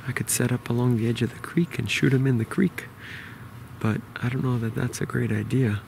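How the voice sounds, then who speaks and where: A man in his forties with a New York accent, quietly explaining a hunt to a close-held camera outdoors in the woods. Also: steady tone, fairly quick, creaky, slight background noise. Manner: quiet, low-key explaining. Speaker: bowhunter. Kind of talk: hushed outdoor hunting vlog. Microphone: camera held close to face.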